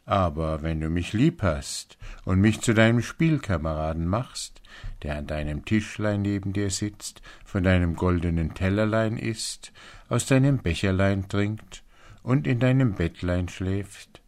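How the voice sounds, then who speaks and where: A man's voice: low voice, a little slow, a little mellow. A man voicing an old frog in a fairy tale, with an insistent, bargaining manner.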